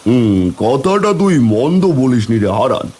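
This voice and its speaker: A man's voice: mid-range voice, very animated, moderate pace, a little mellow, breathy edge. A man voicing a commanding village boss in a cartoon, speaking. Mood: authoritative, concerned. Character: village headman, and a greedy gruff male wholesale merchant. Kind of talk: Bengali cartoon voice acting.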